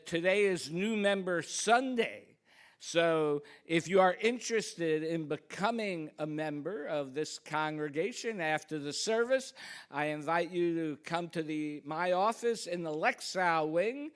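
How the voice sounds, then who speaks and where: A woman's voice: sits low, clean tone. A woman speaking as a minister to her congregation, with informal, self-deprecating asides between announcements and readings. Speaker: congregation minister leading the service. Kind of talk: church service announcements and scripture reading. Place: church sanctuary, speaking to a congregation.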